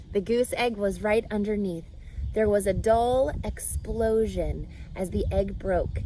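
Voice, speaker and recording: A woman's voice: mid-range voice, slight background noise, low-quality recording. A woman reading a children's book aloud expressively, now and then speaking to the listener. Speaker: storyteller.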